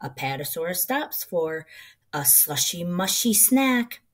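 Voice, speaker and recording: Slow, animated woman reading aloud. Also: fairly low pitch. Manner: animated, rhythmic, sing-song delivery. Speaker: teacher reading a picture book aloud. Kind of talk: expressive children's storybook read-aloud.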